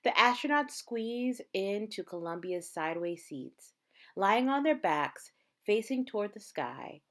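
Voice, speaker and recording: Slow, expressive woman reading a storybook aloud. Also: mid-range voice. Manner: measured, dramatic phrasing with emphasized words. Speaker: teacher reading a picture book aloud to students.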